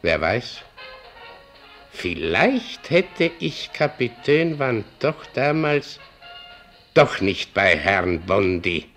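A man playing an opinionated older doorman in a German radio drama, muttering and reading haltingly with asides. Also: fairly low pitch, very animated, a bit bright, soft background music, full character voice. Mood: worried. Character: brooding, opinionated older doorman and newspaper clipper.

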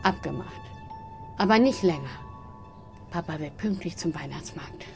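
A woman's voice: deep voice, expressive, normal speed, gravelly, soft background music. An actress playing a cheerful motherly woman who coaxes in a children's audio drama. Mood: cheerful urging.

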